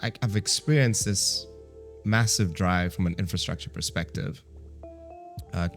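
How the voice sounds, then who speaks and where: A man's voice: deep voice, unhurried, creaky, faint music behind. A man with an East African accent talking casually and reflectively in conversation, as a guest on a talk show. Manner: reflective, talkative, peppers English with some Swahili.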